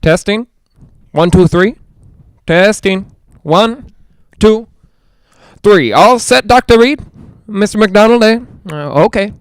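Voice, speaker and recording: A man's voice: high voice, expressive, slow pace, pauses often, smooth, dry recording. A man playing a chatty, skeptical radio ghost hunter in a 1940s-style audio drama, with folksy joking patter. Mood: chatty showman.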